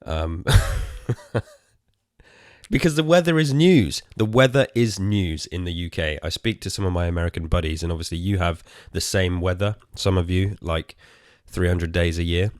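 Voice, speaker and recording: A tired, hoarse man with an English accent, rambling casually as host of his own podcast, swearing and poking fun at himself. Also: deep voice, normal speed, dry recording, studio quality. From England. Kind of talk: casual solo podcast chat.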